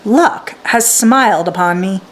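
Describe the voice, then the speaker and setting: A woman voicing a gruff, stern and grasping man in a children's storybook, curt and dismissive. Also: medium pitch, measured pace, close to an everyday voice. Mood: smug, scheming. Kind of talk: children's storybook read aloud.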